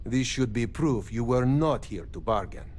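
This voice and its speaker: An actor playing a level-headed spaceship captain in a video game, speaking in a measured, formal way without contractions. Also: male voice, mid-range voice, darkish tone, slight background noise, natural voice. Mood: measured, firm. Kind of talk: video game dialogue acting.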